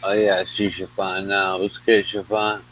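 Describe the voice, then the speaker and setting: A man's voice: low voice, little pitch movement, normal speed, a bit bright. A man chatting casually as a livestream co-host, informal and laid-back. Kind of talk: casual chat. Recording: faint background noise and hum.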